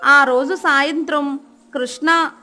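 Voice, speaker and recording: A woman reads a children's moral story as narrator. Her voice is high and bright, delivered as scripted storytelling with music behind it. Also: steady tone, takes it slowly.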